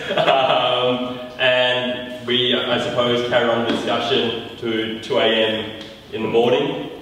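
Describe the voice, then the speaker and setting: A man's voice: on the low side, fairly bright tone, slight background noise. A man, a theatre director, telling an anecdote in a group discussion in an easygoing way. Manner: anecdotal, laughs easily. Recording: echoey hall from far off.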